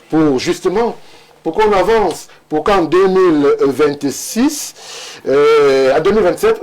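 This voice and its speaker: A man hosting a studio debate, reading viewer messages aloud in a measured way and handing the floor to guests. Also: fairly high pitch, darkish tone.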